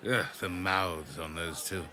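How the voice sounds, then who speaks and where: An actor playing a vain, scheming, rakish captain in a period audio drama, with a pushy, mocking, theatrical manner. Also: male voice, sits low, unhurried, bright, forceful tone, some chatter behind. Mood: impatient, vain.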